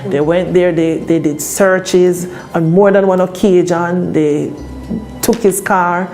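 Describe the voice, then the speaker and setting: A woman's voice: fairly low pitch, steady tone, takes it slowly, mellow, faint music behind. A woman with a Jamaican accent giving an interview statement as a senior police officer.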